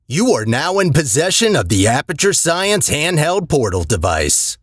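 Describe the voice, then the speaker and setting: A formal, detached automated announcer for a video game. The delivery is officious and deadpan, like scripted public-address instructions, at a middling pitch in a very dry recording. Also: normal speed, no room sound. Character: calm formal automated facility announcer reading test instructions.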